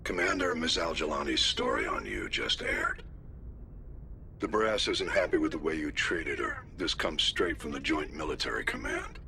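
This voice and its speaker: Casting: gruff game admiral, extremely deep, sharp-edged, slight noise on the comms. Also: male voice, sing-song, moderate pace, heavily acted. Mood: stern. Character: gruff senior male admiral reporting by radio. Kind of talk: acted game dialogue.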